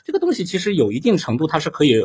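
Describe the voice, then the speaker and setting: A male guest talking off the cuff. His voice is husky and pitched a bit above average. He explains his views colloquially, point by point, often tagging on a question to check he is followed. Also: huge pitch swings, racing, a little mellow. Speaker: panel guest. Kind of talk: casual chat, offering personal opinions.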